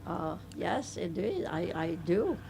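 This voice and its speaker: An older woman with a slight Hungarian accent, a native Hungarian speaker, answering audience questions at a book talk in a playful, affectionate, deliberate way. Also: fairly low pitch, sing-song, gravelly, darkish tone, breathy edge. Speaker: author and professor giving a book talk. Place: bookstore event.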